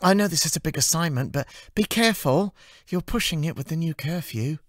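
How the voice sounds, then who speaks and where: A man's voice: a little high, animated, brisk, fairly bright tone, dry recording, studio quality, pitched above the performer's own voice. A man voicing a cheerful, gossipy young female office assistant in an audiobook, chirpy, breathless and earnest. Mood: cheerful concern.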